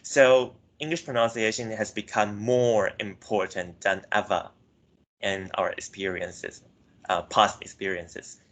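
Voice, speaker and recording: A young man with a fairly low voice presents research findings to a class. He speaks hesitantly, leaning on filler words and often correcting himself mid-sentence. He grew up in Myanmar. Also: fairly even intonation, measured pace, fairly bright tone. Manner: hesitant, frequent "like" and self-corrections. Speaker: student presenter.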